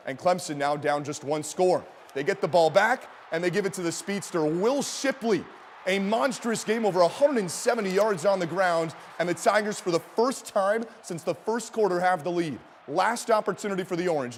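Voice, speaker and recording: Male sports broadcaster, fairly high voice, emphatic and punchy, calling highlights and filing TV reports. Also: a little hiss or hum. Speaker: sports play-by-play announcer and TV reporter.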